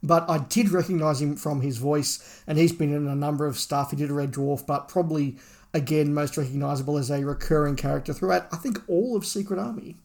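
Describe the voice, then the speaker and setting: A man's voice: a little high, fairly quick, vocal fry, darkish tone. A man co-hosting a podcast, giving opinionated, emphatic views in a casual review discussion.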